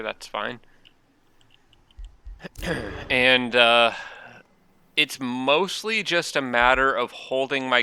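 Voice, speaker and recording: A man's voice: medium pitch, vocal fry, a little hiss or hum. Stuffy-nosed man talking through a strategy game. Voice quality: slightly nasal from congestion. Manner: relaxed, thinking aloud, frequent ums. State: stuffed up from allergies. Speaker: gaming channel host. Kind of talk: casual strategy game commentary.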